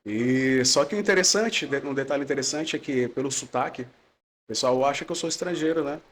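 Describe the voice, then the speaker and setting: A man's voice: medium pitch, brisk, a bit bright, slight background noise. Laughing man with a Maranhão accent. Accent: Maranhão Brazilian Portuguese, mixed with São Paulo. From São Luís, Maranhão, Brazil. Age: young adult. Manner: friendly, laughs often, adds "né". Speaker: guest giving testimony. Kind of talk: relaxed podcast conversation.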